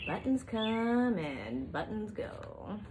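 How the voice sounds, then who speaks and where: A middle-aged woman reading a picture book aloud to young children on an outdoor patio, expressively, with drawn-out questions and singsong refrains. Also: medium pitch, very animated. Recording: outdoor recording with faint background noise.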